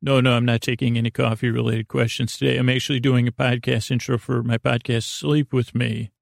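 A man hosting a bedtime podcast in a halting, meandering, comedic monologue that keeps circling back and drifting into digressions. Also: mid-range voice, flat delivery, gravelly, no room sound, clean studio sound. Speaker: podcast host.

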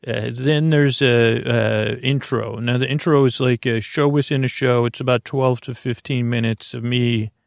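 Male sleep podcast host: gravelly creak, close dry sound, soothing and wandering monologue. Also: mid-range voice, fairly even intonation, dry recording. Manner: meandering, halting, soothing, full of tangents. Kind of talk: slow rambling bedtime monologue.

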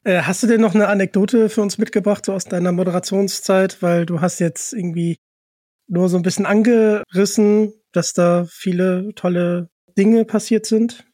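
A man's voice: high-pitched, steady tone, normal speed, mellow, no room sound, studio quality. A man hosting a podcast, chatting casually and putting questions to a guest. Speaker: podcast host. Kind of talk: casual interview chat.

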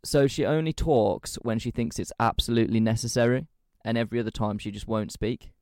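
A man's voice: mid-range voice, dry recording. Twenties, male, from England, easygoing podcast co-host, mid-range voice, dry room. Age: 20s. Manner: animated, swearing, quick asides. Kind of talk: casual chat.

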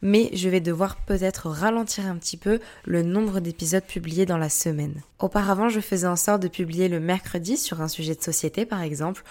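A young woman, a student, hosting her own podcast and talking to listeners in a steady, explanatory way. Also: lowish voice, fairly quick, few pauses. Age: young adult. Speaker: podcast host. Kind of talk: casual podcast monologue.

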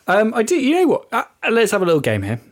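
A man's voice: high-pitched, huge pitch swings, fast. A man hosting a radio show, rambling and playful as he introduces songs. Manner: rambling, self-interrupting, playful. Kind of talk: loose banter between music tracks.

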